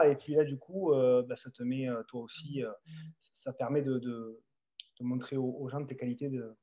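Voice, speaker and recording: A man's voice: mid-range voice, warm tone. A man from the south of France with a Southern French accent, hosting a casual market chat, hesitating and repeating words. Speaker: podcast host discussing the stock market.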